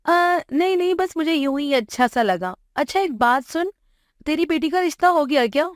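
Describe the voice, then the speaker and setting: A woman acts out a middle-aged mother from a family story tale, outspoken and firm. Her delivery is emphatic and often pitched up, in a very dry recording. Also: expressive, moderate pace, dry recording. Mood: eager, reassuring.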